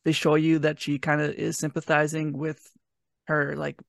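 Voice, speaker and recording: A man's voice: fairly high pitch, monotone, moderate pace, gravelly, no echo, studio quality. A young man co-hosting a podcast in a casual review chat, often giggling through his words. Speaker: podcast co-host.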